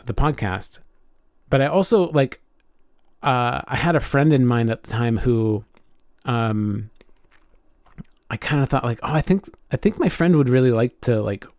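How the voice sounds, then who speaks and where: A man's voice: mid-range voice, darkish tone, no echo. Casual man chatting, close dry sound. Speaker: podcast co-host. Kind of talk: casual chat.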